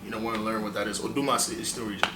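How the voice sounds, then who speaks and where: A young man from Ghana hosting a relaxed, chatty interview with plenty of fillers. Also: on the low side, racing. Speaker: host. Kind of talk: casual interview. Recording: echoey room, distant mic, faint hiss.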